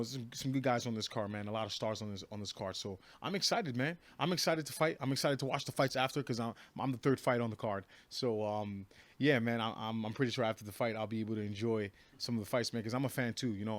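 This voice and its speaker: A man's voice: fairly low pitch, no echo. Brash, stammering New York fighter in his thirties. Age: 30s. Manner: repetitive, stammering restarts, brash and confident. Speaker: professional fighter. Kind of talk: casual interview.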